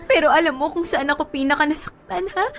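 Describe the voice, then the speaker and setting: A betrayed young fiancee in a radio drama. Her high voice is emotional, hurt and reproachful, with music underneath. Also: fast, a bit bright.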